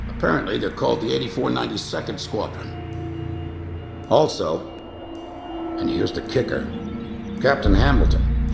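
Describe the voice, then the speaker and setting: An older air force mechanic, shrewd and calm, with a creaky, animated delivery. It is video game drama, heard in a roomy space under music. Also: male voice, lowish voice.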